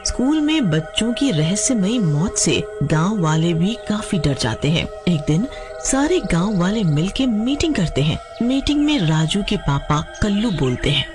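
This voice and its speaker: A woman's voice: mid-range voice, sing-song, a little slow. A woman narrating a scripted story as a storyteller. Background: music.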